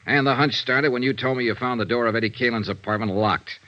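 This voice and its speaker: An American man playing a sharp hardboiled insurance investigator in 1950s radio drama, crisp and clipped. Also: medium pitch, fast talker, no room sound, lightly acted. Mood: weary, explaining. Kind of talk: 1950s radio detective drama acting and narration. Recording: dull vintage radio recording with music.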